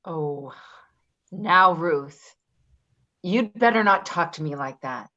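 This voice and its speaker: A woman's voice: fairly low pitch, animated, takes it slowly, sharp tone, highs cut off, exaggerated voice. A woman acting a tough, wheedling, manipulative caregiver in a play reading, pushy and slangy. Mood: menacing.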